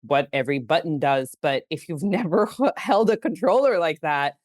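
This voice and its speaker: A woman's voice: sits low, expressive, moderate pace, darkish tone, no echo. Chatty woman from Greece, dry close mic. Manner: chatty, laughs easily, many "like"s and "you know"s. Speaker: game studio CEO, guest. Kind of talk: casual podcast interview.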